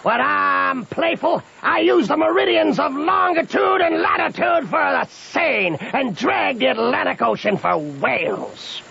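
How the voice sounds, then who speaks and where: A man's voice: very high-pitched, sing-song, a little slow, gravelly, few pauses, pushed and bright, heavily acted. An actor playing a swaggering, quarrelsome raftsman who proclaims grandiose boasts in a bombastic old-time radio style. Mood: grandiose boasting. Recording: muffled old radio recording.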